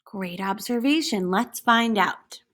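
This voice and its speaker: A woman reading a storybook aloud as a teacher to children, speaking deliberately and pausing to put questions to her young listeners. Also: medium pitch, fairly bright tone. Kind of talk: read-aloud storybook narration.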